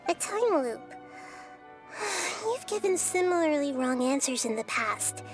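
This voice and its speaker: A woman voicing a childlike young goddess in a video game, composed, cryptic and gently instructive. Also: high voice, sing-song, slow, over music, full character voice. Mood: calm, cryptic. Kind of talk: video game character dialogue.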